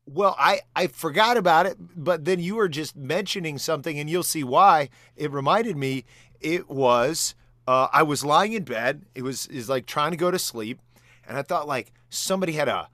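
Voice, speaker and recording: A man's voice: on the high side, fairly bright tone, no echo. A man co-hosting a podcast in casual chat, with a wry, rambling way of talking. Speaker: podcast co-host.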